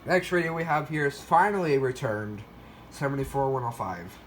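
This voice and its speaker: A man's voice: mid-range voice, moderate pace, fairly bright tone, slight background noise. A man giving a casual show-and-tell narration in a hesitant, rambling way, often correcting himself. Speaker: video creator showing a weather radio collection.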